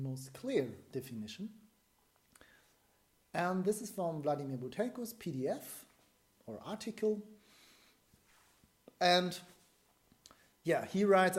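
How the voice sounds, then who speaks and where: A man in his forties with a German accent talks to a camera a few feet away in a small room at home. His voice sits a little high but sounds mellow and warm. He explains a breathing method step by step, restarting phrases as he thinks aloud. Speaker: breathing coach. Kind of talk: explanatory video lesson to camera.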